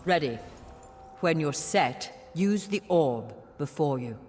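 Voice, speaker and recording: An actor playing a calm mage guiding a ritual, with instructive delivery in fantasy video game dialogue. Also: male voice, on the high side, slow. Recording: echoing game space, faint background noise.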